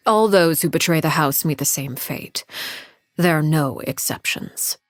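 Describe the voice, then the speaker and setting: A cold, commanding matriarch of an orphan household, a game character who never raises her voice. Her tone sits low for a woman and stays calm, measured and quietly threatening. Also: no echo, studio quality. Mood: cool menace. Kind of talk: video game dialogue.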